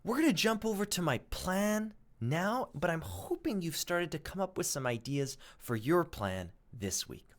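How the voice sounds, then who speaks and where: A man reading aloud as a teacher, expressive and dramatic, with emphatic pauses. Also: sits high. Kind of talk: expressive storybook read-aloud and lesson.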